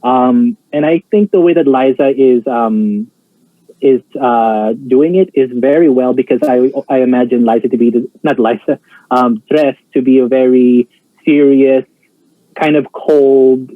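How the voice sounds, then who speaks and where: A man's voice: mid-range voice, measured pace, dry recording. Guy chatting, lots of um and like, tinny phone-ish sound, dry. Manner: hesitant, many fillers and restarts. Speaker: co-host reacting to a show. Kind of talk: casual chat.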